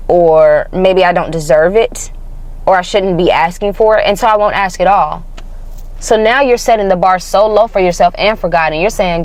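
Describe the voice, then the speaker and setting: A young woman in her twenties vlogging to camera, chatty and upbeat, a bit congested, talking from her car. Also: fairly low pitch. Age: 20s. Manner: chatty, upbeat, uses y'all. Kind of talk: casual vlog talk to camera.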